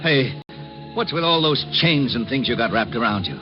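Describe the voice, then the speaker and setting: Male actor as grouchy American miser, slangy grumbler, swooping pitch, 1950s radio play, dull recording with prominent music. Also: lively intonation, moderate pace, natural voice. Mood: skeptical. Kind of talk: 1950s American radio comedy-drama acting.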